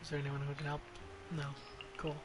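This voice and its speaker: A man's voice: fairly high pitch, monotone, brisk. A man doing casual gameplay commentary as a streamer, blunt and sweary, often muttering to himself. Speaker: video game streamer. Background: game music.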